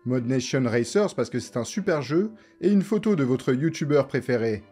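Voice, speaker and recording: A man's voice: medium pitch, expressive, normal speed, warm tone, slightly rough, faint music behind. A man presenting a scripted comedic video essay in a sarcastic, informal way, as a YouTuber.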